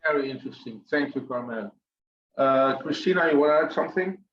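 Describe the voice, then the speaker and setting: A man's voice: mid-range voice, expressive, brisk. A middle-aged man moderating a webinar panel, speaking hesitantly with many fillers. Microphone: webinar call microphone. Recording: dull lo-fi call audio with faint hiss.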